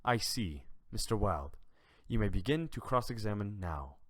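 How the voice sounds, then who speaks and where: A man playing a formal, slightly puzzled judge in an amateur audio drama, with loud music behind him. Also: fairly low pitch, expressive, moderate pace.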